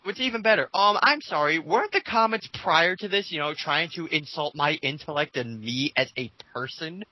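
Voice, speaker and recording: A man co-hosting a casual reading of online comments, arguing in a halting, indignant way. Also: on the high side, expressive, sharp tone, no echo, poor audio. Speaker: co-host reading and reacting to comments.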